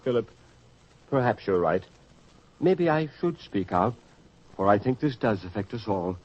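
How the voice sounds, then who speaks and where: A man's voice: lowish voice, expressive, measured pace, a little mellow. A man playing an earnest, troubled disciple in a radio drama, grave and somewhat hesitant. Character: troubled, earnest disciple prone to challenge his companions. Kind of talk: old-fashioned radio drama acting. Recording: dull lo-fi radio drama recording.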